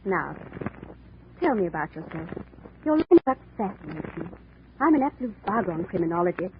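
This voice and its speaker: A woman's voice: on the low side, very animated, fairly quick. Coy British woman, tinny recording. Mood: coy, flirtatious. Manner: coy, teasing. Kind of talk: old-time radio drama acting. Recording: tinny, noisy vintage radio recording.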